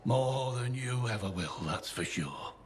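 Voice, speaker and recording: An actor playing a weathered, boastful yet haunted old survivor in a video game, with a folksy, plain-spoken manner. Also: male voice, medium pitch, lively intonation, a little slow, close to an everyday voice. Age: older. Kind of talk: video game dialogue acting. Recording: clear ambient noise behind the voice.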